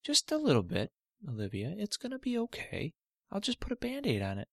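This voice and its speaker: Patient, soothing dad in a bedtime story, read by a man, a bit lifted in pitch, gravelly texture. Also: wildly swooping pitch, fairly quick, no room sound, studio quality, exaggerated voice. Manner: soothing, reassuring. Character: patient gentle father, adult man.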